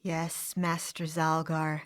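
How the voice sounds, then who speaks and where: A woman playing a mind-controlled teenage zombie thrall in an audio drama, fawning, flat and obedient. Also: low voice, slow pace. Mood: servile.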